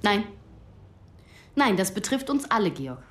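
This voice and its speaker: A woman's voice: mid-range voice, measured pace. An actress playing an earnest schoolteacher in a radio drama, talking patiently but firmly to her students. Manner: patient, firm, calming. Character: earnest female schoolteacher mediating conflict. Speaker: teacher.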